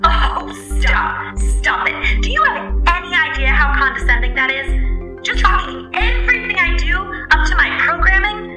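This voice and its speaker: A woman's voice: extremely high pitch, lively intonation, moderate pace, reverberant, music in the background. A woman playing an indignant, sarcastic shipboard artificial intelligence in a radio drama, with a mocking manner.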